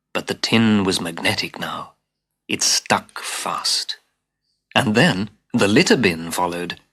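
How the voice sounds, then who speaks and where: Expressive low-voiced man telling children's stories. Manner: dramatic, expressive, dropping to hushed asides. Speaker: storyteller. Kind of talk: scripted children's story narration. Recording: hissy old cassette tape.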